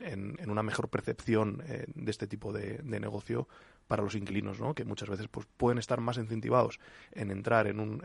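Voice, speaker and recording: A man's voice: lowish voice, creaky, breathy edge, no room sound. A man with an accent from Spain talking spontaneously as a business panelist, often ending phrases with a checking tag. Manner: ends phrases with checking tags. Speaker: property company executive on a panel.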